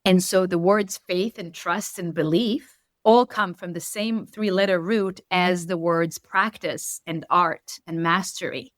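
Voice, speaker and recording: A woman from Israel, a native Hebrew speaker with an Israeli accent, speaking earnestly as a coach in an interview, slowing to stress key points. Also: fairly low pitch, no room sound, clean studio sound. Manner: earnest, measured, slows for emphasis. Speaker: coach, podcast guest. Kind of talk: reflective interview talk. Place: home in Berlin.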